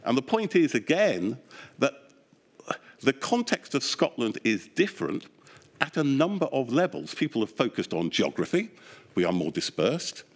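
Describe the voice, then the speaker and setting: A man giving expert testimony at a public inquiry, explaining at length in an animated, discursive way with wry asides. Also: medium pitch. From England. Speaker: expert witness, academic psychologist. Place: public inquiry hearing room.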